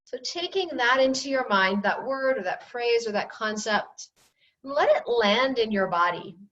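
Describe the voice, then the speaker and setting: Female yoga teacher, soothing and gently instructive, recorded from a distance with slightly muffled sound. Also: a little high, lively intonation, a little slow, roomy sound.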